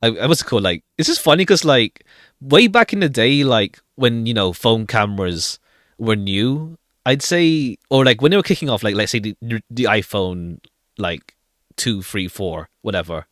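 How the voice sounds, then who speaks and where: A man's voice: fairly low pitch, sing-song, moderate pace, fairly bright tone, no echo, studio quality. A man streaming a game with casual commentary, rambling hesitantly and repeating phrases as he goes. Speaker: live streamer. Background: faint jazzy game music.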